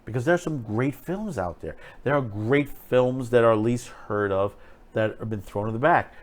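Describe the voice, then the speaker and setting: Man giving rambling, emphatic commentary. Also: medium pitch. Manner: rambling, halting, emphatic, repeating phrases. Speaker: host of an online film commentary show. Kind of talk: unscripted opinionated commentary.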